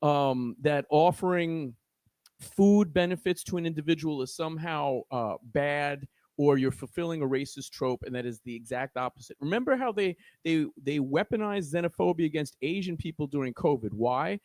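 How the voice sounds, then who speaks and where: New york guy on a podcast, kinda high voice, preachy, keeps restarting, dry sound. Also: lively intonation, moderate pace, a little mellow, no room sound. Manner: earnest, preachy, many restarts. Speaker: guest, community organizer. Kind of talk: impassioned podcast discussion.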